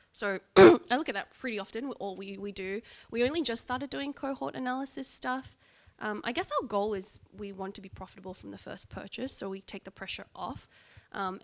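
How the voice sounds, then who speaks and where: Woman on a business panel, normal mid pitch, keeps it casual and unpolished. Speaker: panelist, brand owner. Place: live event in front of an audience.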